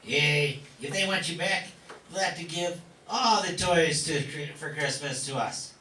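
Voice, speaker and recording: Guy doing a greedy easter bunny bad guy, kinda high n super sharp, whiny schemer, radio skit, roomy w some noise. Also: a little slow. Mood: petulant, gloating. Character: greedy, resentful Easter Bunny gang boss holding Santa hostage. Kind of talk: amateur comic radio play acting. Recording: roomy, distant hall recording with noise.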